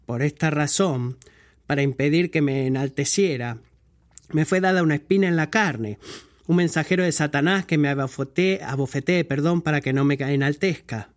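A man reading scripture aloud and then preaching a sermon in a measured, pausing way, stopping now and then to correct his own slips. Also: on the high side.

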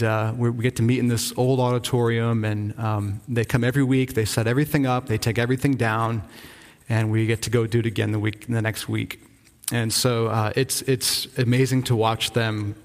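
A man from Rhode Island, a pastor, giving an earnest, informal update from the pulpit, often restarting his sentences. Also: medium pitch. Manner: earnest, enthusiastic, halting with frequent restarts. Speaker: visiting church-planting pastor. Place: church sanctuary. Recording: long reverb of a church hall.

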